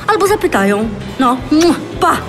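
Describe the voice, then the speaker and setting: An actress playing a brisk, bossy, breezy female best friend in a naturalistic audio drama, assertive and expressive. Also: sits high, over music. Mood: breezy, insistent.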